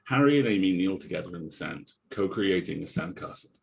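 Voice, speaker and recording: A man's voice: deep voice, animated, brisk, gravelly, slight background noise, rough recording. A man who reads stage directions and chats casually at a script table read. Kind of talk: casual chat and script reading.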